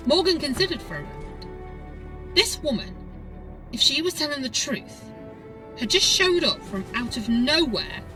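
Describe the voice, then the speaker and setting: A woman reads an audiobook story with dramatic delivery. Her voice is fairly high and bright, with very animated pitch movement. Music sits under the narration. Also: distant mic, low-quality recording.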